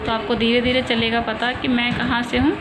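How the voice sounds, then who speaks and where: Chatty woman vlogging over loud traffic noise. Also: a little high, little pitch movement, brisk. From rural India. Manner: rambling, chatty, confiding. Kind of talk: casual vlog chat. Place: home in the city.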